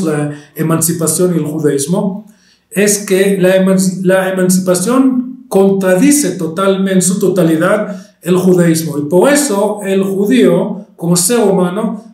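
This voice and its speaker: An Israeli historian with a Spanish accent picked up in Argentina, lecturing and pausing often with fillers and restarts. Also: male voice, high voice, a little mellow, reverberant. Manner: hesitant, many fillers and restarts.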